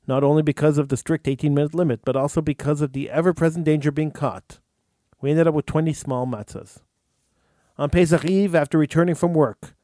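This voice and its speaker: A man, the host, reading a true story aloud in an earnest, measured way, with an occasional stumble over words. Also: fairly high pitch, a little mellow, no room sound, studio quality. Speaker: radio host.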